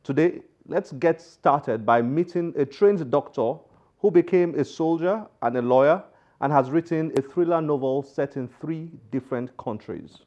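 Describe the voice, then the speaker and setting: A man's voice: fairly high pitch, normal speed, warm tone, lo-fi sound. A man presenting a book programme in scripted narration, as its host. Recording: music behind the voice. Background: instrumental music.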